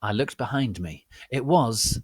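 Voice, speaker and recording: A man hosting a dramatic reading, theatrical and mock-solemn, speaking deliberately with pauses. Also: mid-range voice, lively intonation, no echo. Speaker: host and reader.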